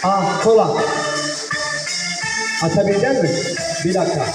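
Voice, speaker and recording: A man's voice: high-pitched, a little slow. A man hosting a live children's stage show, speaking playfully to children and parents in short repeated prompts and questions. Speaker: host of a children's magic show. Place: large echoing venue with an audience. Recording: echoey hall, far from mic, music behind.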